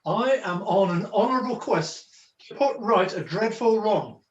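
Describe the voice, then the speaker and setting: A man's voice: high voice, lively intonation, a little slow, echoey room, muffled recording. A man playing a weary, melodramatic jester in a comic pantomime, speaking in flowery mock-archaic phrases over a video call. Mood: comic, courtly. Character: melodramatic weary jester, a strolling player on a quest.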